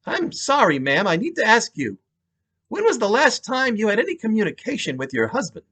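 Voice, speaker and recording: A man doing a New York detective, pitched up. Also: huge pitch swings, fairly quick, pushed and bright, husky, heavily acted. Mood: questioning. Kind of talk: audiobook-style dramatic reading.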